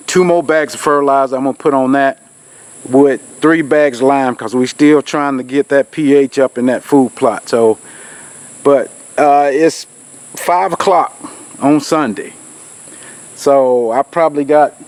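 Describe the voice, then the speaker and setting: A man's voice: medium pitch, a little mellow. A man, nasal from a cold, rambling in a folksy way as he talks to a camera on a tripod, out in a rural field. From Georgia, US. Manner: rambling, folksy, with many fillers. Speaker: outdoors channel host. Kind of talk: casual vlog talk to camera.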